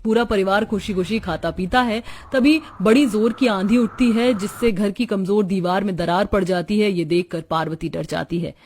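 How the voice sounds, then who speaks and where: A woman's voice: mid-range voice, moderate pace, hardly any pauses, darkish tone, a little hiss or hum. A woman narrator reading a scripted cartoon story.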